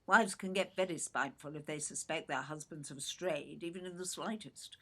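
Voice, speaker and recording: A woman's voice: deep voice, moderate pace, a bit bright. An actress playing an older English mother in an audio play, indignant and brusque, condescending as she defends her son. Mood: scornful. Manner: clipped, brusque, condescending, calls listener "dear".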